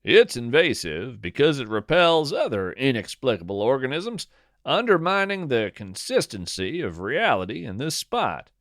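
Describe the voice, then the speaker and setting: A man narrating a scripted first-person story as a podcast host, in a whimsical, deliberate and dryly playful manner. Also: medium pitch, very animated, fairly bright tone, no echo, clean studio sound.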